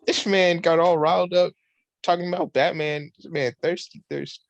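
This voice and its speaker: A man co-hosting a podcast in casual banter, slangy and excitable, repeating phrases for emphasis. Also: a little high, normal speed, gravelly, dry recording. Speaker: podcast co-host. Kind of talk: casual banter reviewing a movie.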